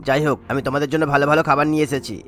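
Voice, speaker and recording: A man's voice: a little high, steady tone, racing, a bit bright, close to an everyday voice. A man playing a sly, henpecked old husband in a cartoon, wheedling and complaining as he talks. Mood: defensive. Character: sly henpecked old cheating husband, sweet-talking father-in-law. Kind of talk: cartoon voice acting.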